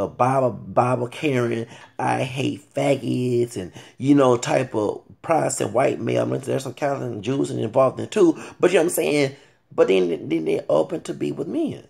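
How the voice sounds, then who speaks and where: A man in his late thirties giving a blunt, animated rant to his viewers, swearing freely and calling them y'all. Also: medium pitch, gravelly, breathy edge. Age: late 30s. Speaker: vlogger. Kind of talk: ranting commentary to camera.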